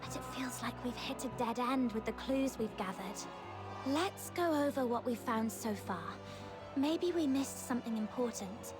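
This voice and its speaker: A small, thoughtful girl in a game story voiced by a woman speaks politely and carefully. Her voice is fairly high and matter-of-fact, with music in the background. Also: expressive, moderate pace, natural voice. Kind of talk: video game voice acting.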